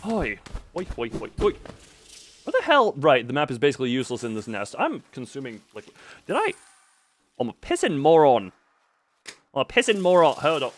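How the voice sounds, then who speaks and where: A man chatting casually on a gaming livestream, rambling and expressive with mildly crude exclamations. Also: medium pitch, moderate pace, long pauses. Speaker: live streamer.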